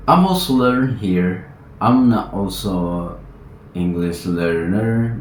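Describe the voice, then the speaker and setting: Indonesian guy vlogging in english, real slow, lots of uh and restarts, faint hiss behind. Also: sits low, a little mellow. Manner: hesitant, halting, many fillers and restarts. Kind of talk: casual vlog, practising English. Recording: echoey room.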